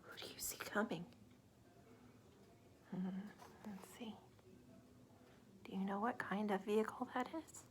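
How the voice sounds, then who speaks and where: A woman reading a story aloud to young children, dropping into soft asides and simple questions for them. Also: medium pitch, fairly even intonation, a little slow, pauses often, a little hiss or hum. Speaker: children's story reader, teacher.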